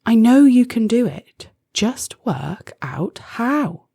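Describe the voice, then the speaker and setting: A woman voicing a kindly old grandfather who speaks in a measured, reassuring and encouraging way. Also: a little high, sing-song, gravelly, dark tone, breathy edge, voice pushed up, full character voice.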